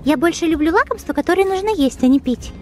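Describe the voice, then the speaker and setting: A woman's voice: very high-pitched, animated, fast talker, voice pushed up. A woman voicing a pampered, chatty young female cat with dainty, fussy opinions about treats.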